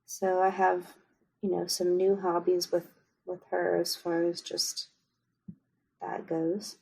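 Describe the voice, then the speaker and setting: A woman from Kansas co-hosting a book podcast, chatting casually with frequent pauses and ums. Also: fairly low pitch, creaky, husky, poor audio. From Kansas, USA. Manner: relaxed, unhurried with frequent ums. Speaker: podcast co-host. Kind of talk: casual chat.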